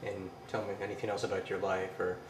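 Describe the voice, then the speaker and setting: A man's voice: low-pitched, level tone, quick pace. A man interviewing someone, asking brief, hesitant questions. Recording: roomy, distant mic, background noise.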